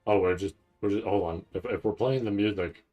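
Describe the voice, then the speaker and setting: A man's voice: lowish voice, fairly quick, mellow, highs cut off, faint music behind. A man hosting a casual livestream, rambling and thinking aloud in loose, sometimes profane language. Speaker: livestream host reacting to anime event. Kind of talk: casual live stream chat.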